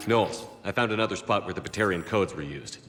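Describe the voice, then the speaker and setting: A man's voice: low voice, fast, fairly bright tone, big-room sound, slight background noise. An actor playing a steadfast male military commander in a sci-fi game, speaking in a firm, earnest, rallying way. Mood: steady. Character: resolute military commander, steadfast male leader in space war. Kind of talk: video game voice acting.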